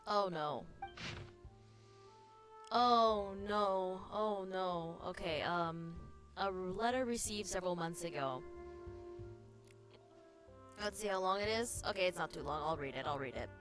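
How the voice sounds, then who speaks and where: Female game streamer, somewhat low voice, casual live commentary, reading aloud, clear background music. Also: a little slow, long pauses. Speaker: streamer reacting to a video game.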